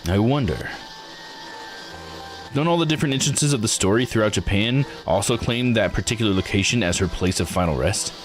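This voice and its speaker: A man voicing a genteel, thoughtful character from a visual novel, speaking in a measured and formal way. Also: lowish voice, animated, faint music behind, voice pushed down, close to an everyday voice. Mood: curious, measured.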